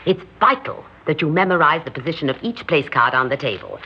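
An actress playing a commanding elderly hostess and conspirator in an old radio drama, speaking with deliberate, gracious authority. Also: female voice, low voice, expressive. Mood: instructing. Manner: imperious, deliberate, theatrically gracious. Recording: muffled, noisy old radio recording.

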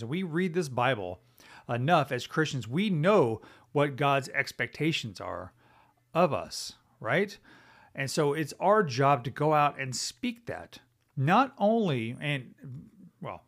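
A middle-aged man hosting a Bible teaching podcast, talking conversationally and thinking aloud with many fillers and "right?" tag questions. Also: fairly high pitch.

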